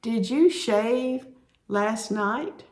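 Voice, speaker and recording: A woman teaching sign language, explaining each sign slowly and repeating the words as she demonstrates. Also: a little high. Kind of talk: slow instructional explanation.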